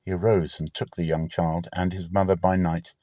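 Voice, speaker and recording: A man giving a dramatic scripture reading in a solemn, theatrical, deliberately phrased manner. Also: low-pitched, little pitch movement, mellow, no echo, studio quality. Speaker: Bible reader, channel host.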